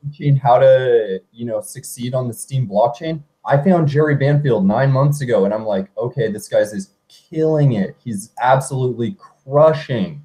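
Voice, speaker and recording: A man's voice: medium pitch, moderate pace, few pauses, dark tone, poor audio. A man in a casual group chat, talking in a loose, chatty way full of "like" and "you know".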